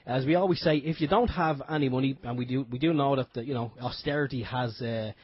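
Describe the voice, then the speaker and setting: Male co-host, raspy mid-pitched voice, dry close sound, relaxed and jokey. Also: fast talker, no echo. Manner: relaxed, jokey, repeats himself. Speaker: radio show co-host. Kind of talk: casual radio chat and announcements. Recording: dull lo-fi internet radio audio.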